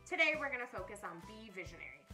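An American woman in her thirties hosting a children's storytime with animated enthusiasm, presenting and reading aloud in a room at home. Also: mid-range voice, fast talker, over music. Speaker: camp director hosting a children's story corner. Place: small furnished room at home, indoors.

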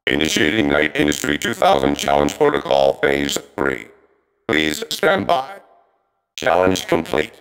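Gravelly robot for a video game, formal and helpful, clipped announcements, robot filter, big long reverb. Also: male voice, low-pitched, a bit bright, exaggerated voice. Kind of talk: scripted video game voice lines.

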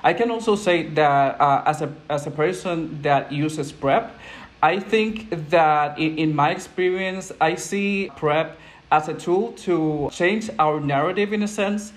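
A young man from Puerto Rico, a native Spanish speaker with a Puerto Rican accent, speaking earnestly as a panel guest over a video call with wired earphones. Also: on the high side. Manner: earnest, thoughtful, measured. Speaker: program manager, panel guest. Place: indoors at home, plain wall. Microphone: earphone headset on video call.

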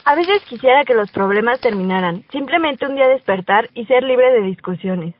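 A woman's voice: fairly high pitch, animated, moderate pace, dry recording, highs cut off. A woman playing a teenage schoolgirl who narrates her own story in a radio drama. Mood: calm, storytelling. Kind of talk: first-person radio drama narration and dialogue.

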